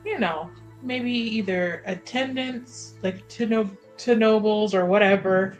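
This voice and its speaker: A woman's voice: medium pitch, a little slow, low-quality recording. A woman improvising in conversation as a player in a tabletop roleplaying game. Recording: music behind the voice. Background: background music.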